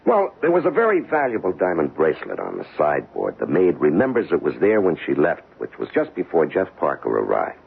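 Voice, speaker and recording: Gruff, gravelly American detective husband. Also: male voice, very deep voice, wildly swooping pitch, brisk, few pauses, heavily acted. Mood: assured, explaining. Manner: colloquial, emphatic, matter-of-fact. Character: weary, gruff police detective husband, plain-spoken and skeptical. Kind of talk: radio drama acting. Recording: dull lo-fi 1970s radio recording.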